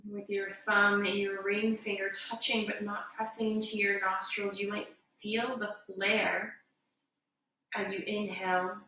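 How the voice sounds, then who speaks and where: A woman giving guided meditation instruction as a yoga teacher, in a gentle, unhurried, soothing way. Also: mid-range voice, flat delivery. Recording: echoey room, distant mic, faint noise.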